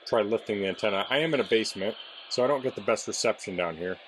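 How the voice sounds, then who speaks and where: Low-voiced man giving a casual product demo. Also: quick pace, slight background noise. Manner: plainspoken, explanatory. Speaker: product reviewer. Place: indoors in a basement.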